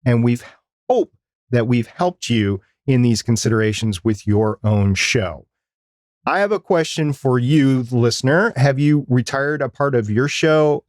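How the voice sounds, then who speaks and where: A man's voice: medium pitch, expressive, measured pace, darkish tone, no echo, clean studio sound. A man hosting a podcast, giving advice in a semi-scripted style. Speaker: podcast host.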